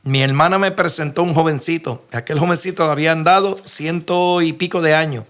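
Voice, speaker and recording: Male Puerto Rican pastor, slightly high voice, earnest sermon storyteller who stops and restarts often. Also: fairly even intonation, brisk, a bit bright. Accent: Puerto Rican Spanish. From Puerto Rico. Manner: earnest storytelling, frequent restarts and rhetorical questions. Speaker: preacher.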